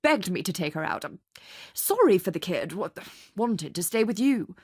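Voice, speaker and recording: A woman voicing a smooth-tongued, deceitful man, an ingratiating and evasive lover in a radio drama. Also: lowish voice, very animated, moderate pace, darkish tone, no echo, studio quality. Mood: flustered pleading.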